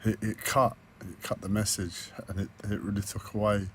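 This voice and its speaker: Croaky guy vlogging into a tablet, deep monotone, lots of ums and restarts, faint hiss behind. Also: moderate pace. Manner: hesitant, many fillers and restarts. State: croaky, hoarse voice. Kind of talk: personal video diary.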